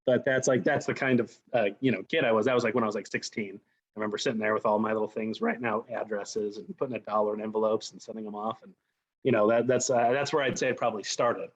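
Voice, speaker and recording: A man in his forties from the United States, a guest on a call, telling his own story casually in a halting, self-deprecating way. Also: on the low side, fairly even intonation, low-quality recording. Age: 40s. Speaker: company president, guest speaker.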